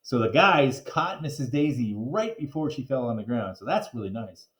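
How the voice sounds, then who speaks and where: A man's voice: mid-range voice, very animated, moderate pace, fairly bright tone. A man reading a children's storybook aloud, with casual asides and simple explanations for young listeners. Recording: echoey, roomy sound.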